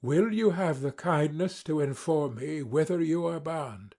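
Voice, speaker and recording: A narrator voicing a polite, exhausted male foreign traveler in an audiobook, with formal phrasing and a husky voice. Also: medium pitch, unhurried, warm tone. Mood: weary, formal. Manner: formal, courteous.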